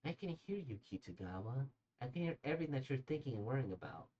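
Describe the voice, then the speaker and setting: A hoarse man voicing a calm young manga character who can hear thoughts, in a soft, quiet voice. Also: mid-range voice, fast talker, close to an everyday voice. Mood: gentle. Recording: dull lo-fi sound.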